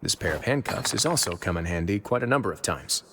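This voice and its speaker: An actor playing a composed, wry duke who runs a prison, in a measured video game performance. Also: male voice, deep voice, animated, background noise.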